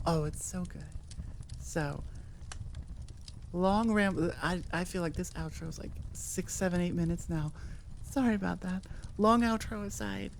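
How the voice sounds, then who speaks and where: A woman's voice: on the low side, unhurried, gravelly, long pauses, slight background noise. A woman chatting casually and enthusiastically to her listeners as an audio creator, rambling off on tangents.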